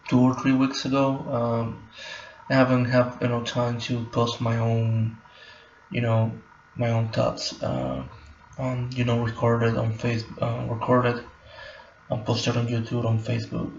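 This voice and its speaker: A man talks to his camera, groping for words and circling back over his phrases. His voice is gravelly and keeps to a narrow pitch. The recording is muffled and echoey, like a bare room at home. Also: a little hiss or hum. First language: Spanish. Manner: halting, many fillers and restarts. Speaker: vlogger. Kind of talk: personal reflective monologue.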